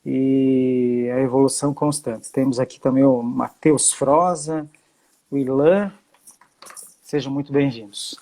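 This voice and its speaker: A middle-aged man from Santa Catarina, Brazil, hosting a video-call live stream in a friendly way, with many fillers and pauses. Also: medium pitch, fairly even intonation, dark tone. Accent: Santa Catarina Brazilian Portuguese. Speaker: host.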